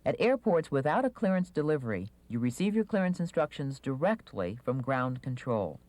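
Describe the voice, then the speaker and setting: A narrator reading a scripted instructional video in a measured, clearly enunciated manner. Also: medium pitch, big pitch swings, a little mellow, no room sound.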